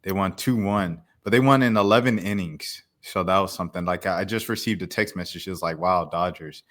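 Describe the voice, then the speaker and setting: A young man hosts a laid-back podcast, talking loosely with plenty of slang. His voice sits on the low side and comes across bright and forceful. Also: brisk. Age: young adult. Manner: easygoing, chuckling, drifts into slang. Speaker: podcast host. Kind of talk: casual chat.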